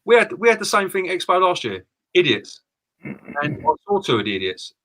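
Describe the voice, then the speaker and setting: A man's voice: high-pitched, big pitch swings, fairly quick, fairly bright tone, poor audio. A man co-hosting a live stream, agreeing and ranting, often repeating and stumbling over his words. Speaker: co-host, business owner.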